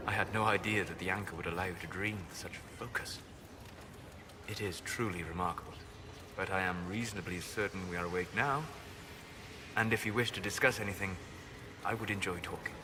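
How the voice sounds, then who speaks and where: An actor playing a calm, courteous, melancholy scholar mage in a video game, speaking in a measured, formal, thoughtful way. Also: male voice, lowish voice, noisy background, close to an everyday voice. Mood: soft, reflective.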